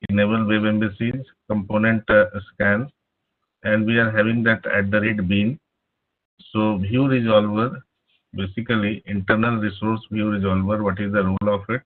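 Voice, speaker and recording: A man teaching a live online programming class over a speakerphone, pausing often with "uh". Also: on the low side, level tone. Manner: halting, many filler "uh"s. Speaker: programming instructor. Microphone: laptop speakerphone, no headset. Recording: tinny phone-like line.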